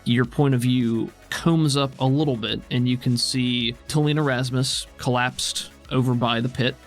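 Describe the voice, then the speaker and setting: Male game master, dramatic tabletop narration, average pitch with gravelly edge. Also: steady tone, unhurried, soft background music.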